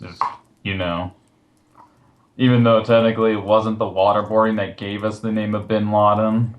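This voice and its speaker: A man with a somewhat low-pitched voice talks in a casual online call. He explains things in a loose, rambling way with some hesitation. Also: level tone, normal speed, lo-fi sound. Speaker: participant in a recorded online voice chat. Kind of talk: casual chat, recounting a list. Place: recorded Skype call.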